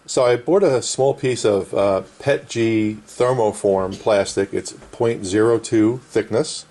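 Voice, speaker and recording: A man's voice: fairly low pitch, slow pace, darkish tone. A middle-aged man casually explaining a hands-on repair at an indoor workbench in short, plain phrases. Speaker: vintage radio restorer and presenter.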